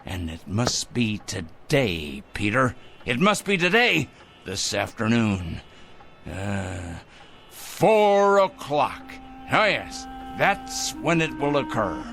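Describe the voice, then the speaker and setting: A ranting, slow-spoken fanatical vigilante. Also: male voice, medium pitch, big pitch swings, vocal fry, fairly bright tone, faint music behind, exaggerated voice. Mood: fanatical scheming. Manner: ranting, drawn-out emphasis, muttering to himself. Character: petulant self-appointed vigilante, fanatical, sanctimonious and suspicious. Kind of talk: theatrical radio drama acting.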